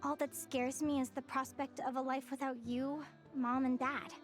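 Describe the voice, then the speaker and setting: A woman's voice: high-pitched, little pitch movement, normal speed, over music, lightly acted. A woman playing a cheerful, earnest girl adventurer in video game dialogue, sincere and perky. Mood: affectionate.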